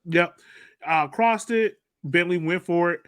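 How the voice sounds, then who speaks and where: Higher-pitched man hosting sports chat. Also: unhurried, fairly bright tone, clear, resonant tone, no echo, studio quality. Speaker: podcast host steering discussion. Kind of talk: casual post-game sports chat.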